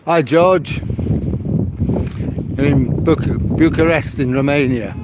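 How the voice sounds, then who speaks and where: Man in his seventies, Mancunian accent, rambling live-stream chat, loud wind on the mic. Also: on the high side, takes it slowly. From Manchester, England. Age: 70s. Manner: chatty, rambling, greets viewers by name. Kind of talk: casual live-stream chat reading viewer comments. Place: seaside promenade outdoors at night. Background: wind and sea noise.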